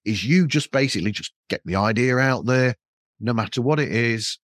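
A man's voice: mid-range voice, lively intonation, moderate pace, a bit bright, dry recording. Male podcast host, mid-range voice, rambling anecdotal chat, dry sound. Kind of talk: casual conversational interview.

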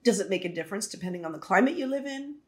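A woman's voice: mid-range voice, expressive, very fast. A woman co-hosting an interview, giving brief agreeing replies and asking short questions. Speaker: co-host.

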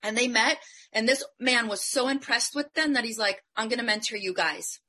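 Forceful, bright-voiced motivational woman. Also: a little high, normal speed. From Colombia. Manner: emphatic, motivational, animated. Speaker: panelist. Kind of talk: live online panel discussion.

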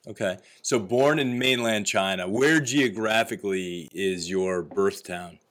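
A man hosts an interview podcast in a slightly low voice. He sounds upbeat and encouraging as he asks his guest questions. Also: unhurried, fairly bright tone. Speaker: podcast host.

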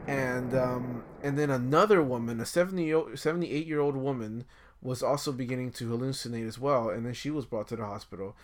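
A man's voice: medium pitch, brisk. A man retelling a news story on a podcast in casual chat, rambling with many fillers and restarts. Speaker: podcast host.

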